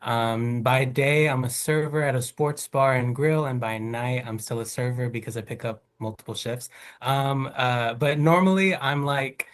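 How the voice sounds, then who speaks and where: A man's voice: medium pitch, moderate pace. A nineteen-year-old man from Mississippi introducing himself casually as a contestant. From Mississippi, United States.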